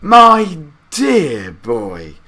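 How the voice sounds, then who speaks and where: A man voicing an excitable, adoring grandfather in his nineties in a children's story, with emphatic delivery. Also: on the high side, drawn-out words, pitched above the performer's own voice, heavily acted. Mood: awed, emphatic. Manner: emphatic, exclamatory.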